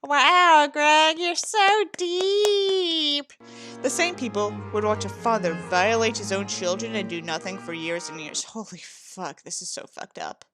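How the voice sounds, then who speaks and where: A woman's voice: medium pitch, normal speed, few pauses, over music. A woman doing a sarcastic dramatic reading, with theatrical, mocking delivery and sweary commentary. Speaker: host reading a book aloud with asides.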